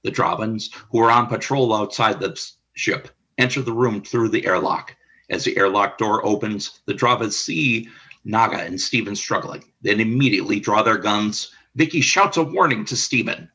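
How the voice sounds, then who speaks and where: A man reading the stage directions of a fan radio play in an even, descriptive way. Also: fairly low pitch, normal speed. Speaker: narrator.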